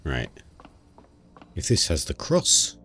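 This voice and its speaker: A man's voice: a bass voice, huge pitch swings, slow, creaky, bright, forceful tone. A man hosting a casual game playthrough, stuffy-nosed from a cold, thinking aloud and rambling with restarts. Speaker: gaming channel host. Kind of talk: casual let's-play commentary. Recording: music playing under the voice. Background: new-age music.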